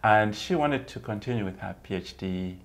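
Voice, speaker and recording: A man's voice: fairly low pitch, animated, normal speed. A middle-aged man from Zambia, a guest on an interview show, talking casually with many ums and frequent laughs, seated in a small room. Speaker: guest, English teacher. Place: small room with bookshelf, indoors.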